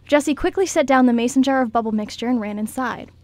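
A woman's voice: high-pitched, quick pace, a little mellow, dry recording. A woman reading scripted story narration as the narrator of a radio skit.

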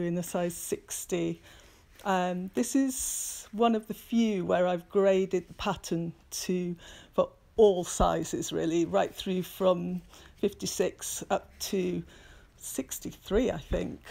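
Woman, low-pitched and unhurried, hesitant maker talking through her studio on a phone camera. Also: vocal fry, darkish tone. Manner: hesitant, many ums, practical and understated. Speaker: milliner giving a studio tour. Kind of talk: casual talk while showing hats. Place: small hat workshop indoors, bright window light. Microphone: phone camera held by speaker.

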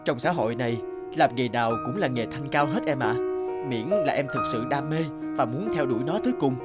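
A man voicing a kind, passionate young repairman in a cartoon, courteous and calm, with a Northern Vietnamese accent. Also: mid-range voice, brisk, over loud music, lightly acted. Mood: earnest. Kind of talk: animated cartoon dialogue.

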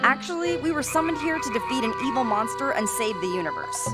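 A woman's voice: high voice, expressive, brisk. A woman voicing an earnest, assertive teenage girl in a cartoon video game. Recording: loud music behind.

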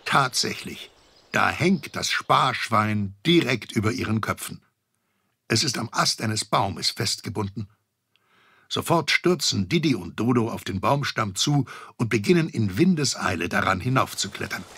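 A man narrating a children's audio drama as an expressive, wry storyteller. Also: fairly low pitch, measured pace, breathy edge, exaggerated voice. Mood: wry, suspenseful.

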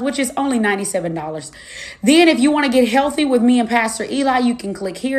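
Emphatic young woman preaching testimony, echoey. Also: fairly high pitch. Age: 20s. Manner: emphatic, repetitive preaching cadence, talks straight to viewers. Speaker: minister and life coach.

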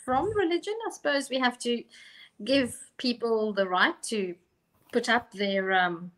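Higher-voiced South African woman, hesitant. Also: measured pace, fairly bright tone. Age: middle-aged. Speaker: panellist. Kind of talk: casual discussion. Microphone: video call microphone.

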